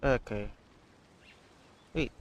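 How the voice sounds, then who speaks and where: A man's voice: drawn-out words, lo-fi sound. A man from the Philippines with a Filipino accent, chatting casually as he livestreams a game. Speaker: game streamer.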